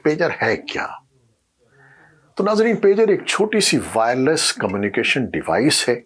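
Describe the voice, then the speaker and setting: A man presenting scripted news narration in a formal, measured newsreader manner. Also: medium pitch, fairly bright tone, breathy edge.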